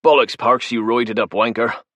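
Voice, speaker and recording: A man's voice: mid-range voice, steady tone, normal speed, a bit bright, no room sound, pitched above the performer's own voice, close to an everyday voice. A man voicing a cheeky, complaining male ex-army engineer. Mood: grumbling.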